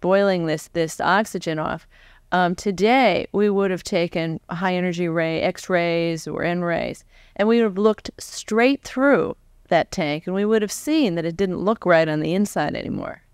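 An American woman in her forties interviewing onstage in a conversational, animated way, thinking aloud as she goes. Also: on the low side, a little slow, clean tone, no echo, studio quality. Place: stage before a live audience. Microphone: large foam-covered microphone on a stand close by.

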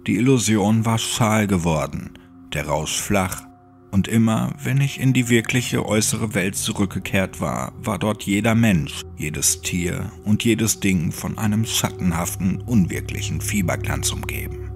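A man narrates a creepypasta audiobook in a low, gravelly voice. The delivery is scripted, brooding and dramatic, and soft music runs underneath. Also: unhurried. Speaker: horror story narrator.

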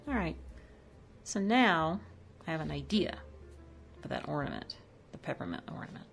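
A woman's voice: fairly low pitch, vocal fry. A woman chatting casually as she decorates her home kitchen, thinking aloud about each piece. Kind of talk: casual voice-over demo.

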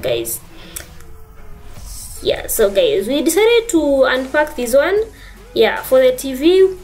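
A woman's voice: fairly high pitch, a little mellow. A woman with a Kenyan accent, vlogging casually on her phone in her living room, chatty and saying "guys" and "yeah" a lot. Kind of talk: casual vlog narration.

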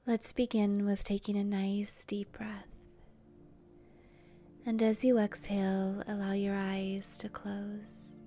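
A woman leading a guided meditation in a soft, breathy, near-whispered voice, gentle and soothing, with long pauses between short phrases. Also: medium pitch, flat delivery. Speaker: meditation guide, channel host.

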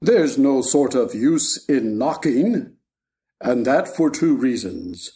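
Frog footman for a storybook play, male actor: dull-witted, deadpan, mellow tone, plodding. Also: mid-range voice, slow pace. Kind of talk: stage play reading.

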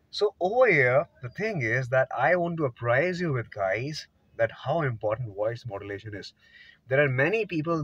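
Male tutor, thirties, Indian accent, mid-pitched, emphatic and demonstrative; lo-fi recording in a car. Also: slightly rough, no echo. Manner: emphatic, demonstrative, swinging between soft and loud. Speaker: English teacher. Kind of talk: informal video lesson to camera. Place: inside a car. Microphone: phone at arm's length. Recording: dull lo-fi phone audio.

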